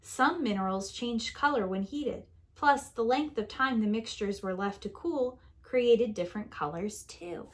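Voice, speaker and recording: A woman reading a picture book aloud like a preschool teacher, in a deliberate, expressive storytelling manner that leans on key words. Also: on the high side. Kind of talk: children's story read-aloud.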